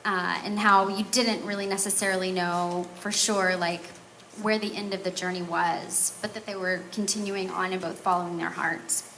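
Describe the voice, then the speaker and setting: Bright-voiced woman, earnest panel answer. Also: lowish voice, steady tone, normal speed, slight background noise, poor audio. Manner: earnest, emotional, self-aware. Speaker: voice actress panelist. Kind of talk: casual convention Q&A answer.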